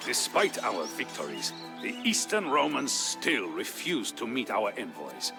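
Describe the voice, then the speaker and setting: A man narrating as a grave Visigoth storyteller, recounting war history in a solemn, measured, theatrical way for a historical game. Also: on the low side, wildly swooping pitch, creaky. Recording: music behind the voice. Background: music.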